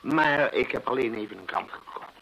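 A man's voice: medium pitch, animated, moderate pace, creaky, fairly bright tone, a little hiss or hum. An actor playing an eager, self-satisfied male detective's companion in a radio play, genial and slightly hesitant. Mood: eager, pleased. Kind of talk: Dutch radio drama acting. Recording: muffled vintage radio play recording.

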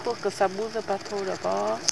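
A man filming from a boat at sea, chatting haltingly as he comments on children sailing. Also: high voice, little pitch movement, vocal fry. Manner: chatty, halting, thinks aloud. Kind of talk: casual commentary while filming. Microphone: handheld camera mic. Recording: loud wind and water noise.

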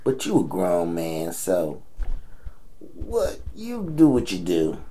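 Man playing elderly American grandfather, audio drama, unhurried, hugely animated, teasing, salty. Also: sits low, slight background noise, heavily acted. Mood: scolding. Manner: salty, slangy, scolding. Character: blunt, teasing, lusty old grandfather.

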